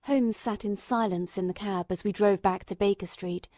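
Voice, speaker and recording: A woman's voice: medium pitch, fairly even intonation, moderate pace, warm tone, dry recording, clean studio sound. A woman reading a classic detective story as a scripted audiobook narrator.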